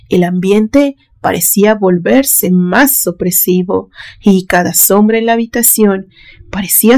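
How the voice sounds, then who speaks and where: A woman reading a literary horror story in a deliberate, suspenseful manner. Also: mid-range voice, a little mellow. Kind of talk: scripted literary narration.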